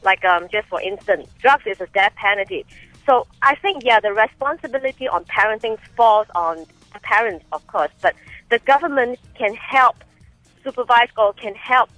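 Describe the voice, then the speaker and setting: A woman's voice: a little high, expressive, normal speed, fairly bright tone, no echo, soft background music, rough recording. A woman from Singapore calling in to a radio show, talking hesitantly with many fillers and restarts. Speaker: caller. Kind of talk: phone-in call.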